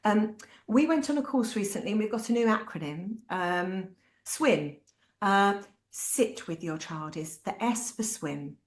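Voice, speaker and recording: Animated British woman, echoey room. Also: mid-range voice, a little slow. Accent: British English. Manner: animated, acts out quoted voices. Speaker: parent speaker. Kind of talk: candid talk to parents.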